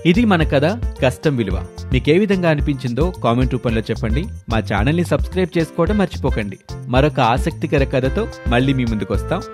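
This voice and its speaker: A man's voice: mid-range voice, expressive, brisk, over music. A man narrating a scripted moral story as a storyteller.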